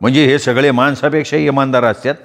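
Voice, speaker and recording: A man voicing a stern middle-aged man who asks curt, sharp questions. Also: mid-range voice, fairly even intonation, fast, in a large hall, lightly acted.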